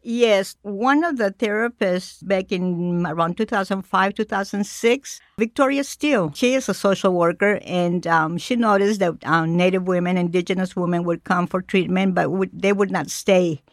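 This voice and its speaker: A middle-aged woman from Mexico speaking as a cultural educator in a radio interview, measured with frequent pauses. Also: mid-range voice, no echo, clean studio sound.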